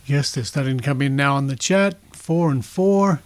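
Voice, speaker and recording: A man's voice: mid-range voice, moderate pace. Chatty middle-aged Australian man. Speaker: podcast host. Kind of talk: casual podcast presenting.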